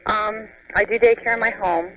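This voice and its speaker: An American woman phoning a radio show, explaining her situation in a casual, earnest, slightly halting way. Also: mid-range voice, steady tone. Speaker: caller, home daycare operator. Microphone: telephone. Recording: muffled phone line on radio.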